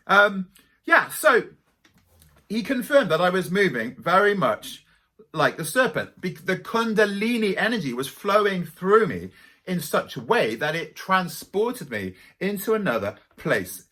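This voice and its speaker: A middle-aged man with a light Welsh accent telling dramatic spiritual stories to camera. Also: high-pitched. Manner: animated, dramatic storyteller. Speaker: spiritual teacher. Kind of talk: storytelling to camera.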